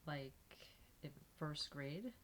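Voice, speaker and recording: A woman, a guest on a podcast, chatting in a relaxed, friendly way. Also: deep voice, slow pace, slight background noise. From United States. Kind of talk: casual conversation.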